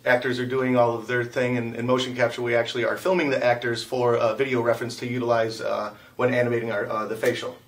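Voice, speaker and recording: A male animator talks in a casual interview. His voice is medium in pitch and sounds somewhat distant from the microphone, with informal, conversational delivery. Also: little pitch movement, quick pace, few pauses.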